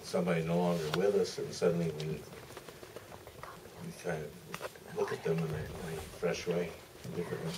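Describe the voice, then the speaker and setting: Male panel guest with a very low voice, halting anecdotal delivery, distant pickup with faint noise. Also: flat delivery. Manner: halting, deliberate, frequent pauses mid-sentence. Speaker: film director, panel guest. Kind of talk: anecdotal Q&A answer. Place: theater stage at a public Q&A.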